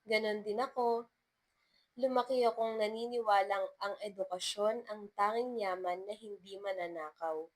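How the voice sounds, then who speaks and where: A woman's voice: mid-range voice, steady tone, moderate pace, close to an everyday voice. A woman storyteller voicing a modest, earnest young female teacher who is polite and bashful. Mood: sincere.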